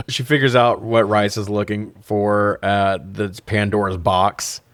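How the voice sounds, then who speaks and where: A man with a fairly low voice speaks in a very dry, close recording. He recaps a movie casually as a podcast co-host. His delivery is sarcastic, profane and amused. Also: steady tone, slow, fairly bright tone, no echo. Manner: sarcastic, profane, laughs through remarks.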